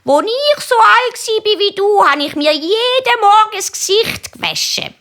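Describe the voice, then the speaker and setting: A woman voicing a scolding old grandmother in a joke, indignant and drawn-out, with a Swiss accent. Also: extremely high pitch, sing-song, pushed and bright, pitched above the performer's own voice, heavily acted.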